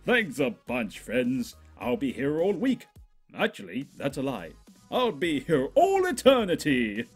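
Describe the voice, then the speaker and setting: A man's voice: medium pitch, huge pitch swings, measured pace, breathy edge, faint music behind, full character voice. A man voicing a cheerful, smug male pony with a mocking streak in a comic storybook reading. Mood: smugly joking. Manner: cheerful, showy, nonchalant, mocking. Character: chirpy smug male pony, secretly a gleeful demon. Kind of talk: comedic storybook character voice.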